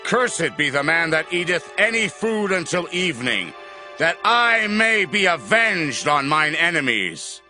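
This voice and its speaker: A commanding warrior king in a dramatized Bible recording. His voice is raised in pitch and swings widely as he issues stern orders. Music plays clearly behind him. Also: very animated, unhurried, pushed and bright, exaggerated voice. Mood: vengeful, solemn. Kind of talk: dramatized audio Bible acting.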